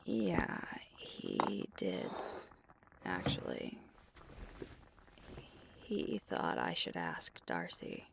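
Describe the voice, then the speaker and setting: Woman playing a gravelly, hushed werewolf girlfriend. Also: very deep voice, huge pitch swings, drawn-out words, long pauses, whispered, exaggerated voice. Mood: affectionate, casual. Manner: soft-spoken, often breathy and hushed, musing hesitantly. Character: sweet, thoughtful alpha werewolf girlfriend, pack leader. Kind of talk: ASMR roleplay, intimate one-sided conversation.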